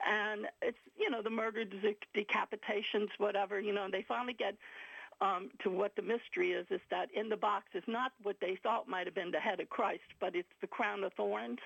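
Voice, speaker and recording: An older woman tells stories in a rambling, chatty way, often breaking into laughter. Her voice is very gravelly and breathy-husky. The sound is dry and dull, like an old radio broadcast. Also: mid-range voice, fairly even intonation, fairly quick, fairly bright tone, no echo. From Ireland. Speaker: guest author and filmmaker. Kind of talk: casual radio interview.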